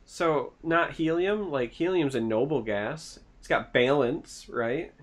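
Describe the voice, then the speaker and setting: A man's voice: a little high, takes it slowly. A man from Michigan hosting a casual podcast chat, asking questions and cracking dry jokes. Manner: dry, joking, asks questions.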